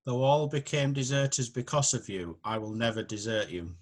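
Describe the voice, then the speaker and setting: A woman's voice: rumbling low, fairly even intonation, normal speed, darkish tone. A woman playing a loyal, insistent male disciple, speaking earnestly and emphatically in a dramatised Bible reading.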